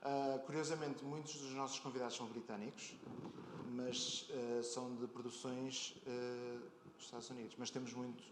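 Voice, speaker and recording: A man with a European Portuguese accent interviews casually as host on a live stage. His delivery is conversational and a little searching, at a medium pitch. The sound is echoey, with clear noise from the venue around him. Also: level tone, moderate pace. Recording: roomy echo, clear convention hall noise.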